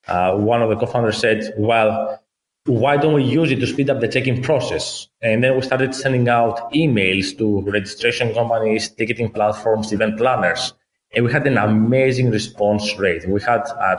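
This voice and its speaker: A man in his thirties from Athens with a Greek accent, a guest explaining things in a measured way, point by point. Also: lowish voice. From Greece, Athens. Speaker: guest, company founder. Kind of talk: conversational interview. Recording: roomy, echoey room.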